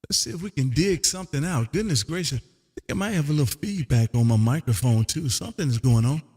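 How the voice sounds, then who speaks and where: A man's voice: medium pitch, animated, darkish tone, husky. A man from Texas hosting an amateur radio live stream, making calls and chatting casually in a folksy showman's way. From Texas, United States. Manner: folksy showman, drawn-out call signs, peppered with "man". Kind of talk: ham radio contact calls and casual chat. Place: home radio room. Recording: long hall-like reverb.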